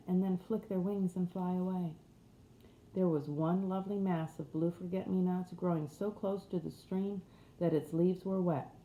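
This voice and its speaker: Mellow woman narrator in a roomy space. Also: lowish voice. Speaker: audiobook reader. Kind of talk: scripted storybook narration. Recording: roomy echo with faint background noise.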